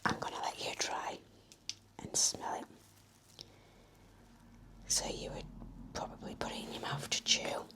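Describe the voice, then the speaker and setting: A woman whispering in a gentle, soothing, encouraging way as she role-plays an attentive nurse for ASMR. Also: a little slow, long pauses. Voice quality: breathy whisper. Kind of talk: whispered ASMR medical exam roleplay.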